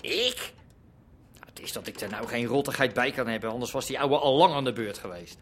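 A man's voice: medium pitch, lively intonation, brisk, a bit bright, a little hiss or hum, lightly acted. An actor playing a cagey, scheming underworld man in a radio drama, protesting in colloquial, indignant speech. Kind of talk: naturalistic Dutch radio drama dialogue.

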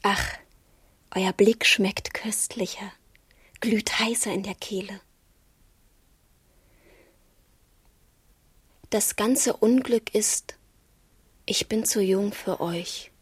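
Breathy, playful young girl. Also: medium pitch, fairly even intonation, dry recording. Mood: tender, coy. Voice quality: breathy, often near whisper. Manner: coy, teasing, slow. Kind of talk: radio drama acting.

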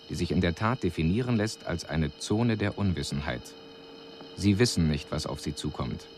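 An actor playing an alien envoy in a radio drama, delivering a formal report in a measured, precise, clinical manner. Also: male voice, sits low. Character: male alien envoy reporting coolly on a doomed city. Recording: radio drama recording with audible background noise.

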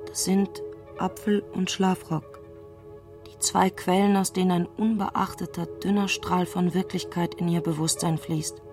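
Eine Frau spricht in einem literarischen Hörspiel die introspektive Erzählerin, die mit bedachten Pausen die Gedanken einer aufgewühlten Frau schildert. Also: female voice, fairly low pitch, little pitch movement, music in the background. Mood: introspective, hushed. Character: intimate, introspective female narrator of a troubled woman's thoughts. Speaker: narrator in a literary radio play. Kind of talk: literary radio drama narration.

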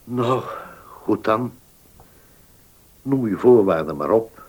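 An actor playing a weary old notary in a Dutch radio drama, courteous, formal, hesitant and deliberate in his speech. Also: fairly low pitch, expressive, darkish tone, slightly rough, exaggerated voice. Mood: weary, reluctant. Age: older.

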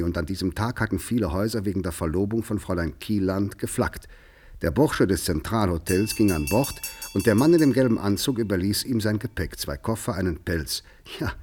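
An actor narrating a literary mystery tale in a 1950s radio play, measured and deliberate. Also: male voice, fairly low pitch, a little mellow, close to an everyday voice. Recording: 1959 radio studio recording.

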